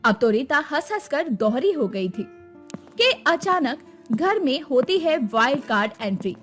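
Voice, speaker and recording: A woman's voice: high voice, very animated, unhurried, a bit bright, faint music behind. A woman storyteller reading dramatic scripted narration of a family tale.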